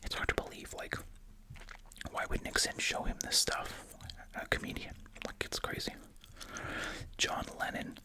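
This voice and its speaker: An ASMR host whispers right up at the microphone in a breathy, unvoiced voice. The delivery mixes reading aloud with casual chatty asides, with gum chewing through the words. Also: unhurried, poor audio. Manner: chewing gum while talking.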